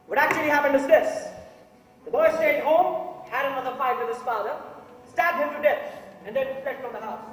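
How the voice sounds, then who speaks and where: A young man playing a methodical, insistent juror in a stage drama, laying out his case point by point. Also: extremely high pitch. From India. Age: young adult. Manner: emphatic, point-by-point recital. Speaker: stage actor in a college play. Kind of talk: theatrical courtroom-drama dialogue. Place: theatre stage before an audience. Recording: echoey stage hall, distant microphone.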